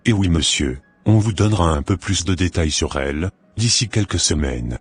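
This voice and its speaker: A man playing a formal, polite hospital doctor in an amateur cartoon, with a very rough, husky voice. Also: deep voice, expressive, normal speed, faint music behind. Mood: formal, grave.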